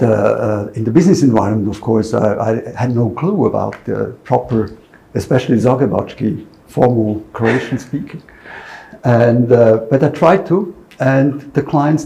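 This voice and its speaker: Swiss guy, gravelly and mellow, halting dry humor. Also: medium pitch, poor audio. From Switzerland. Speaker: former banking partner. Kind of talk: reminiscing conversation.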